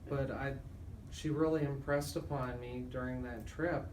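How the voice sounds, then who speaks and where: A man's voice: mid-range voice, fairly even intonation, measured pace. A middle-aged man talking conversationally as a radio host. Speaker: radio host and estate planning lawyer. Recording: roomy, distant mic, loud background noise.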